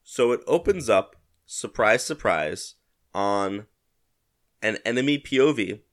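A man's voice: fairly low pitch, animated, slow. A man hosting a casual solo podcast, sounding a little congested from a head cold and talking in a rambling, self-correcting way. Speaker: podcast host.

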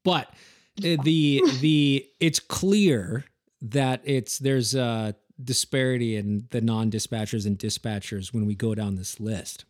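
Informal male podcast host, mid-pitched. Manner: informal, halting, thinking aloud. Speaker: podcast host, former wildland firefighter. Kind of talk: casual interview chat.

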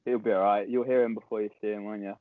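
A young man with a Southern English accent, a podcast guest chatting quickly with dry humour. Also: on the low side, little pitch movement, no echo. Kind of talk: casual interview chat. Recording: tinny phone-like call line, dry.

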